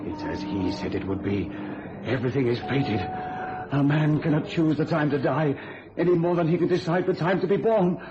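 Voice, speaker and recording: A man's voice: fairly high pitch, normal speed, dull audio, lightly acted. An actor playing a haggard man tormented by guilt, anguished and fatalistic, in melodramatic old-time radio style. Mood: resigned, despairing. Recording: noisy, band-limited old radio recording.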